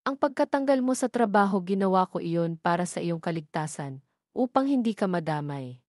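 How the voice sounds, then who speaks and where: A woman voicing a guarded young billionaire man who speaks softly and sincerely in a storybook drama. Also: on the low side, expressive, moderate pace, no room sound, studio quality, lightly acted. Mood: earnest.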